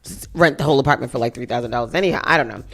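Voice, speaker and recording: A woman's voice: very deep voice, rapid-fire, creaky, soft background music. A woman hosting a morning radio talk show, chatting and reading local news aloud with asides. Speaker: radio host.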